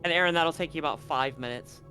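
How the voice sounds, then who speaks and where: A man's voice: on the high side, quick pace, bright, forceful tone, faint music behind. A man narrating a tabletop game as game master in an informal, joking way.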